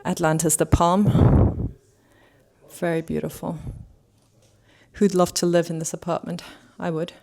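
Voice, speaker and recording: A woman's voice: lowish voice, level tone, unhurried, creaky, long pauses, dark tone. A woman hosting a casual vlog, commenting and asking questions in an enthusiastic, admiring way. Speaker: host and interviewer.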